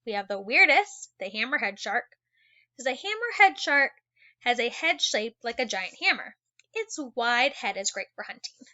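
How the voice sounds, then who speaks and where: Female teacher for children's nonfiction read-aloud. Fairly high, bright, expressive delivery with chatty asides; slightly dull recording. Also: moderate pace. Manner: animated, exclaiming and wondering aloud for young listeners.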